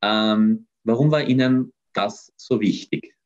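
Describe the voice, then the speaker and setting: A young man asks questions over a video call, polite and careful, with a few hesitant fillers. His voice sits low. The sound is dull and lo-fi, as from a call. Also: slow pace. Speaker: interviewer. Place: room at home.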